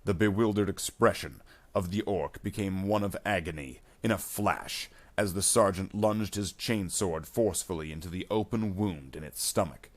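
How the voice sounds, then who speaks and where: A man reading a scripted action story aloud in a measured, dramatic way as its narrator. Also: low voice.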